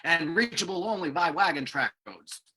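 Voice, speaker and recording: An actor playing an earnest male security agent in an old-time radio drama, with a husky voice. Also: mid-range voice, lively intonation, moderate pace, pushed and bright, rough recording.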